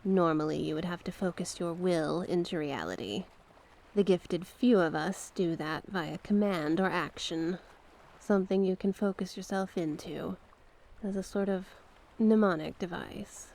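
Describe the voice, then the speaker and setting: A woman voicing a mysterious tentacled sea witch in an ASMR roleplay, a gentle, coaxing mentor who speaks in formal storybook phrases and sometimes slips toward a whisper. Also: fairly low pitch, measured pace. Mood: calm, instructive. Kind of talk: ASMR roleplay, slow scripted monologue.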